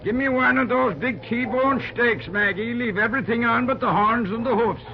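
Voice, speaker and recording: An Irish railroad man with a thick brogue and a teasing, easygoing way of talking. His voice sits high, and the dull old radio recording carries a clear hiss. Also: normal speed. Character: warm, plain-spoken older Irish railroad man. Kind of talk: 1940s radio acting.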